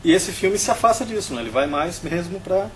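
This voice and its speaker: A Brazilian man on a film review panel, explaining his views in a steady, analytical way. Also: high voice, fairly quick, slight background noise.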